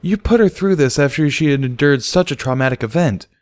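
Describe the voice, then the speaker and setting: A man's voice: on the high side, animated, fairly quick, a little mellow, pitched above the performer's own voice, close to an everyday voice. A man puts on a female pony schoolteacher for an audiobook. Her voice sits well above a man's usual talk. She is stern and disapproving, with a reproachful edge.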